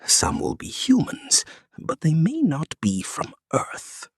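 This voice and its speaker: A tipsy old-hand male guide acted out by a narrator who explains things slowly and patiently. The voice is husky and slightly slurred, as heard in an animated fantasy recap. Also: mid-range voice, no echo, in a whisper, clean studio sound, full character voice. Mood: patient advising. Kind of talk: animated story dialogue.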